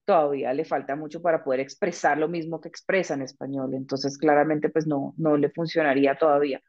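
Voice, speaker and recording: Low-voiced middle-aged woman, measured. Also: steady tone, a little mellow, muffled recording. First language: Spanish. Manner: thoughtful, measured, frank. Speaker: interpreter and mother, guest. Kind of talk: conversational interview.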